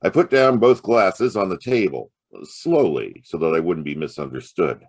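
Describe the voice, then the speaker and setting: An actor playing a wry, hard-boiled private detective in a noir audio drama, narrating and talking in terse slang. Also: male voice, sits low, sing-song, moderate pace, heavily acted. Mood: matter-of-fact narration.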